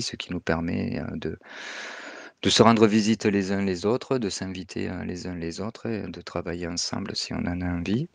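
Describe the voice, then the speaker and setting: A man speaking as a guest panelist, explaining casually in halting speech with many fillers and repeats. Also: low-pitched, creaky, no room sound.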